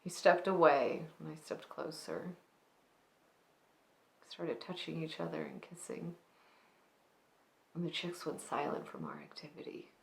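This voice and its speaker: A woman performing a dramatic monologue as a wistful, grieving granddaughter, speaking softly with restraint and pauses between phrases. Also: low voice, lively intonation, vocal fry, heavily acted. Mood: intimate, confessional. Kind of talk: dramatic monologue, slow storytelling. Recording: roomy, echoing space.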